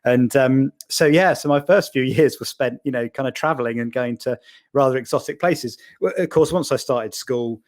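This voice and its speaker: A man's voice: medium pitch, moderate pace. A man in his forties from Wales, a guest on a podcast, talking in a friendly, rambling way and laughing easily. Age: 40s. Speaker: podcast guest, marketing executive. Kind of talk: casual interview.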